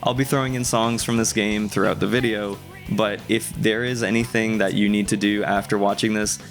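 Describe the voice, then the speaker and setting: Low-voiced young man narrating a video essay. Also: fairly even intonation, normal speed, faint music behind. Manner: conversational, casually enthusiastic. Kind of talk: scripted video essay narration with casual asides.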